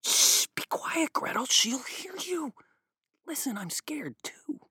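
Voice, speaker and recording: A woman's voice: high voice, sing-song, takes it slowly, no echo, studio quality, voice pushed up, full character voice. A woman voicing a young boy who whispers reassurances to his little sister in a spoken fairy tale. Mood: hushed. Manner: hushed, reassuring.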